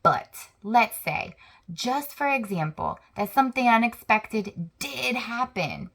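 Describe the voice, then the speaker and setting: A woman hosting a children's channel, reading a picture book aloud with slow, expressive storytelling. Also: fairly high pitch.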